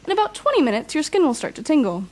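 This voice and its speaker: Woman doing a higher voiced villain lady, polite but sly, composed, audio drama. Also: sing-song, racing, darkish tone. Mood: slyly threatening. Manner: mock-polite, composed, wry. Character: smooth-talking, slyly polite young female supervillain.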